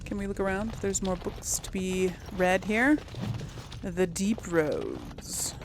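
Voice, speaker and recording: A woman's voice: mid-range voice, takes it slowly, noisy background. A woman hosting a gaming video with casual commentary, often muttering to herself hesitantly as she talks to viewers. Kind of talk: casual game commentary, talking to the audience.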